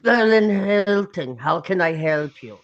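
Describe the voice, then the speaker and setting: A woman's voice: on the low side, lively intonation, unhurried, lo-fi sound. A woman reading the part of a polite, apologetic hotel receptionist in a screenplay table read.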